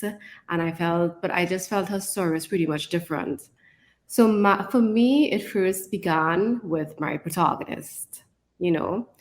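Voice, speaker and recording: Low-pitched woman chatting. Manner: conversational, often adds "right?" as a tag. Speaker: podcast co-host and author. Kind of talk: casual podcast chat.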